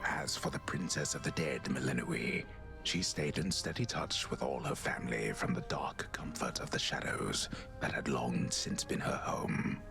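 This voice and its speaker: Very deep, gravelly epic storyteller. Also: male voice, moderate pace, over music. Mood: solemn. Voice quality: very deep, gravelly, breathy murmur. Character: old storyteller poet narrator, grave and solemn. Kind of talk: epic scripted game narration.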